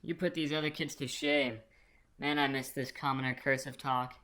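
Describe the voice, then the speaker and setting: A man's voice: medium pitch, steady tone, normal speed, pushed and bright, close to an everyday voice. A man playing a crass, self-absorbed king in a puppet film, talking in a casual, rambling, condescending way. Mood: smug, amused. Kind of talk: puppet film character acting.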